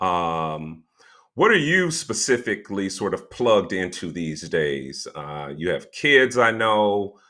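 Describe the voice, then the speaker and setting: A man's voice: sits low, very animated, takes it slowly, pushed and bright. A man hosting an interview show, a non-practicing attorney, speaking hesitantly with many fillers and restarts.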